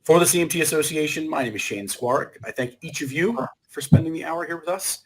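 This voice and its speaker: A man's voice: fairly high pitch, fast talker, slightly rough. A man hosting and moderating a webinar, delivering formal, polished closing remarks. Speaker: host and moderator.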